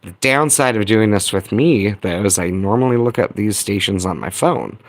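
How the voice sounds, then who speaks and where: An American man giving a casual, unscripted demonstration as a hobbyist host, halting and thinking aloud while he talks into a phone. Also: fairly low pitch, expressive. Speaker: hobbyist radio video host. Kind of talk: casual rambling hands-on demonstration.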